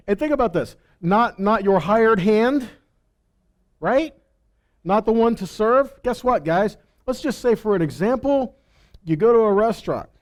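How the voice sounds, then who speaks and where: A man giving an informal sermon as a Bible teacher, reading verses and commenting conversationally with rhetorical questions and imagined replies. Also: high-pitched.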